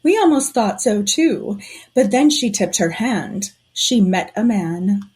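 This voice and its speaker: A woman playing a shrewd, suspicious conspirator in a period audio drama, telling things in a knowing, deliberate way. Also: mid-range voice, very animated, a little mellow, slightly rough, full character voice.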